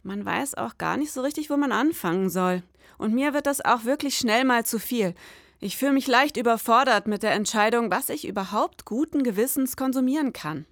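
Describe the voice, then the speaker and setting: A woman's voice: a little high, animated, moderate pace, no room sound, studio quality. A woman in her forties co-hosting a podcast, mixing scripted narration with casual conversation. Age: 40s. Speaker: podcast co-host.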